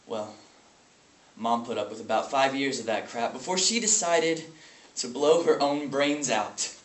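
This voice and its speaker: A man's voice: mid-range voice, unhurried, distant mic, natural voice. Man acting a haunted, bitter grieving brother, echoey room. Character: grieving, traumatized brother bitter about his abusive family. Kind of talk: dramatic stage monologue. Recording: echoey room with faint noise.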